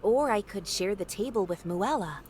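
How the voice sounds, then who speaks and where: A woman's voice: medium pitch, animated, moderate pace, a little mellow, a little hiss or hum, lightly acted. An actress voicing a cheerful young woman adventurer in a game, talking in a friendly, chatty way. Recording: game audio with faint music.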